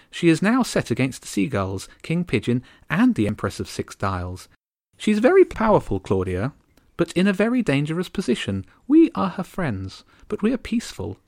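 A man voicing a tiny, haughty female starling queen with a high, prim voice and a sharp, clipped manner. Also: dry recording, clean studio sound.

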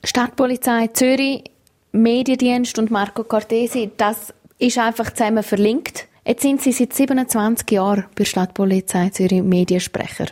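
A woman with a Swiss German accent hosting a radio interview and asking measured questions. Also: fairly high pitch, darkish tone. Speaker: radio host.

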